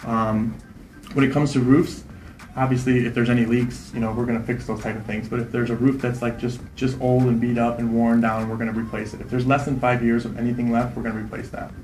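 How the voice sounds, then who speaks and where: A man in his thirties, a real estate investor, answering interview questions quickly and confidently, often tagging "right?" onto his points. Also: medium pitch, flat delivery, a little mellow, reverberant, slight background noise, rough recording. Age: 30s. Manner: fast, confident, repeats "right?".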